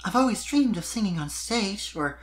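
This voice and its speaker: A narrator voicing a sweet, shy young mare pony who speaks softly and a little hesitantly in a fan fiction reading. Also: medium pitch, expressive, moderate pace, pitched above the performer's own voice, close to an everyday voice. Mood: wistful, hesitant. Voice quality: soft voice.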